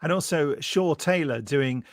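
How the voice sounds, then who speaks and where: Higher-pitched British man chatting. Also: measured pace, dry recording. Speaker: podcast co-host. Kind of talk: casual chat, recounting old films.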